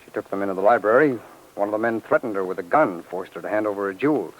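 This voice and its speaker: An actor playing a businesslike federal agent, clipped and matter-of-fact, in a 1940s radio drama. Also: male voice, fairly low pitch, quick pace, lightly acted, rough recording.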